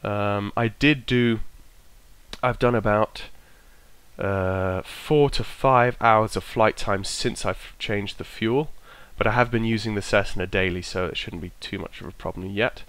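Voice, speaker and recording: A man with a bit of a cold, his voice croaky, casually talking himself through an aircraft checklist and trailing off as he goes. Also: lowish voice, a little slow, fairly bright tone, no room sound. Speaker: flight sim streamer. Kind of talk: casual narrated walkthrough.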